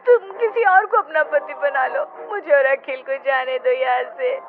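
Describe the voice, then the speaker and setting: A woman voicing a terrified young wife, tearfully pleading for her life in a cartoon. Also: a little slow. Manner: tearful, high-pitched pleading. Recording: muffled audio with loud music bed.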